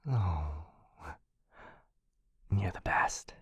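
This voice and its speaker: A man voicing a shy, affectionate dog-person boyfriend in an ASMR roleplay, speaking softly and hesitantly, often close to a whisper, right up on the microphone. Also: sits low, expressive, extremely slow, no echo, heavily acted. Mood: sleepy, loving. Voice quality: soft, breathy, often dropping into whisper. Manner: hesitant, tender, intimate. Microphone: close microphone in a dry space.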